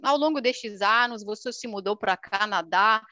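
A young woman from Romania, a native Romanian speaker with a Romanian accent, chatting casually in an expressive, animated way. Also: mid-range voice, normal speed, no echo, muffled recording. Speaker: language video creator. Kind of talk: casual chat.

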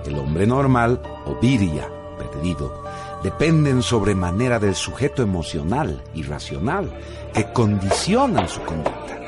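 Man, deep voice, solemn radio drama narration, music bed. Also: big pitch swings, measured pace.

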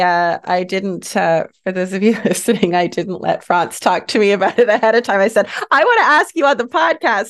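A woman hosting a podcast, affirming her guest and asking loose, rambling questions. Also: mid-range voice. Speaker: podcast host. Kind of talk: podcast interview.

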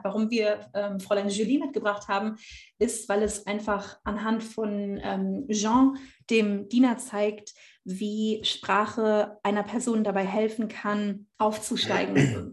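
Thoughtful young woman in an echoey room. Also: medium pitch. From Rhineland, Germany. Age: young adult. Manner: thoughtful, with frequent hesitations. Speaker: student podcast host. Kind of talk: casual academic discussion.

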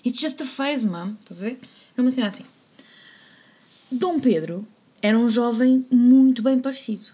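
A woman with a European Portuguese accent tells history as a casual podcast host. Her voice sits a little high. She keeps it playful and jokey, often dropping into hushed asides. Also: moderate pace, slightly rough. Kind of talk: casual storytelling about history.

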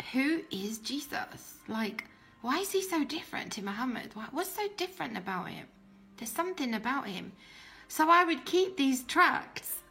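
A woman's voice: a little high, pushed and bright. A woman giving an earnest, meandering personal faith testimony on her podcast. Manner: earnest, meandering, many fillers. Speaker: podcast host.

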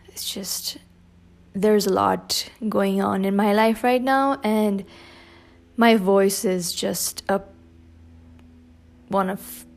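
A young woman talks unscripted on her podcast in a hoarse, scratchy voice with a gravelly edge. She speaks haltingly, with soft fillers and long pauses, like someone holding back tears. Her pitch sits in an ordinary middle range. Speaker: podcast host. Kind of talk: unscripted personal podcast talk.

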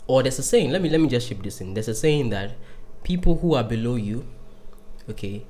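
A man hosting a podcast, asking questions in a relaxed way full of "you know" and "right". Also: mid-range voice, brisk. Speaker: podcast host. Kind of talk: casual interview chat.

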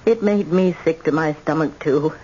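A woman's voice: low-pitched, normal speed, warm tone, natural voice. A woman playing an indomitable, bitter old grandmother, imperious and emphatic, in old-time radio drama style. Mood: pleading, brooding. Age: older. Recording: dull, noisy old radio recording.

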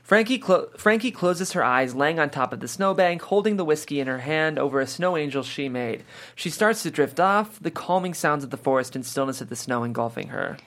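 A man's voice: fairly high pitch, expressive, fairly quick, fairly bright tone. Man narrating screenplay stage directions.

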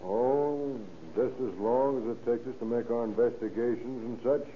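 A man's voice: medium pitch, fairly even intonation, normal speed, lightly acted. An actor playing a polite, guarded city man in an old radio drama, speaking hesitantly. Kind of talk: 1940s radio acting. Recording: muffled, hissy old radio recording.

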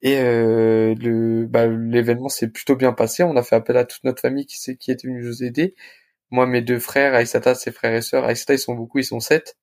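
A young adult man speaks as a guest in a casual interview. His voice sits at a medium pitch. He talks informally, with halting, hesitant phrasing as he recounts his experience. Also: little pitch movement, darkish tone. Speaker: restaurant co-founder, interview guest.

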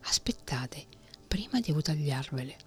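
A woman's voice: low voice, a little slow, slightly rough, soft background music, exaggerated voice. A woman reading a fairy tale, whispering the lines of a fearless young man who wants to learn fear. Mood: calm, unafraid. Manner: whispered, calm and bold.

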